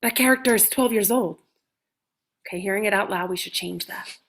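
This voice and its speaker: A woman playing an imperious, sharp-tongued older comedy star, snarky and commanding, in a comedy table read. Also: medium pitch, fairly quick, close to an everyday voice. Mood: teasing, testing.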